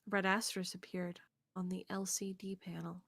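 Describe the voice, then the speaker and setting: Woman narrating a visual novel, creaky edges, crisp bright tone, very dry. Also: lowish voice, little pitch movement, moderate pace, no echo.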